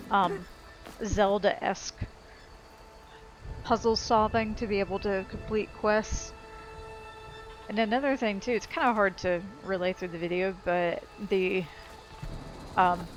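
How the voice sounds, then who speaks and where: Female gameplay commentator, informal and rambling, explaining as she plays, with game music clearly behind. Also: medium pitch, rough recording. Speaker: game streamer. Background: game music and sound effects.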